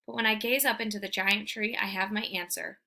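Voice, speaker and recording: A woman reading a children's book aloud as an expressive storyteller. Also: medium pitch, fairly quick, gravelly.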